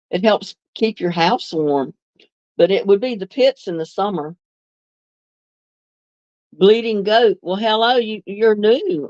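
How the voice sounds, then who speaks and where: A chatty older woman with a drawl, hosting a casual livestream in a homey tone. Her voice sits in a middle pitch. The recording is dull and lo-fi but dry, with no room sound. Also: darkish tone, no room sound. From North Carolina. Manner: chatty, homey, laughs often, reads comments aloud. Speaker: livestream host. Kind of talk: casual live chat reading viewer comments.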